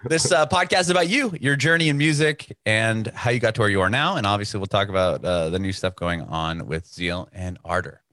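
A man's voice: on the low side, brisk, few pauses, bright, forceful tone, no room sound, studio quality. A man from San Diego hosting a music podcast, chatty and upbeat, with lots of fillers and restarts. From San Diego, United States. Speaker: podcast host. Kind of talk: casual interview chat and scripted intro.

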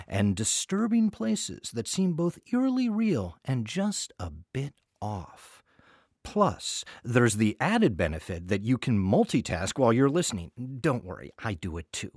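A man's voice: medium pitch, slightly rough, no echo, clean studio sound. A man hosting a podcast in a chatty scripted welcome, with wry, playful asides. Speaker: artistic director and podcast host.

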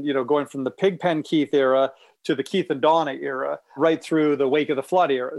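Slightly high-voiced male guest, thinking aloud. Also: no echo. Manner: knowledgeable, thinks aloud with fillers and restarts. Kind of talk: casual interview talk.

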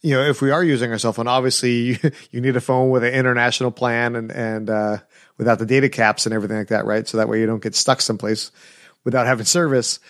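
A man hosts a casual interview, asking conversational questions and often restarting his sentences. His voice sits at a middle pitch and sounds close and dry. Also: steady tone, quick pace, a bit bright, no echo. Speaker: podcast host.

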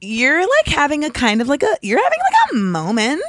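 A woman in her thirties with a deep voice, hosting a podcast in casual, blunt, sarcastic chat. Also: huge pitch swings, normal speed, fairly bright tone. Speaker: podcast host. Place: home studio room.

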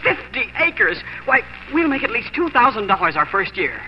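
Proud young frontier husband, high and very animated, exuberant 1940s radio acting. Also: male voice, moderate pace. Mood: boastful, excited. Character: eager, boastful nineteen-year-old homesteader husband. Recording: muffled, faintly noisy 1940s radio broadcast.

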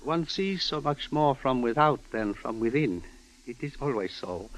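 An actor playing a calm, enigmatic elderly Chinese doctor in old-time radio drama, speaking in measured, formal, inverted sentences. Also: male voice, mid-range voice, natural voice, low-quality recording. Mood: serene, formal.

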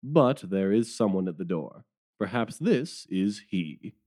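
A man's voice: low-pitched, sing-song, measured pace, a little mellow, full character voice. An actor playing a weary, deferential male police detective who talks in a rueful, wordy way in an audio drama. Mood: musing.

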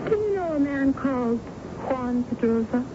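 A woman's voice: high-pitched, animated, normal speed. An actress playing an earnest, anxious young woman in a 1970s radio drama, speaking with open feeling. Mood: suspicious. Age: young adult. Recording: noisy, dull 1970s radio broadcast recording.

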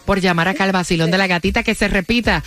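A woman's voice: medium pitch, quick pace, a bit bright. A woman hosting a morning radio call-in show, chatting exuberantly and affectionately with callers. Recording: radio studio with music bed. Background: music.

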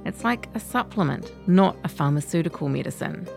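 A woman's voice: low voice, lively intonation, brisk, gravelly, darkish tone, faint music behind. A woman reading a long-form article as scripted narration.

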